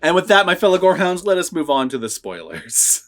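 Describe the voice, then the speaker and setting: A man with a somewhat high voice hosts a review vlog. He chats casually and rambles along in a conversational way. Faint music plays behind him. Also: big pitch swings, fairly quick. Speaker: host of a horror movie review vlog.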